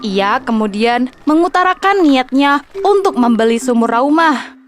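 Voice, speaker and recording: A woman's voice: high voice, lively intonation, unhurried, soft background music. A woman reading scripted narration for a children's story.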